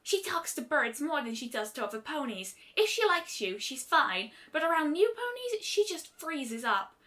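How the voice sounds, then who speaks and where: A woman plays a cocky young female pegasus from a storybook cartoon world. She is high and bright, with blunt, slangy delivery and swooping pitch. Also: very animated, normal speed. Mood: frank. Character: brash, confident young female pegasus pony, protective friend.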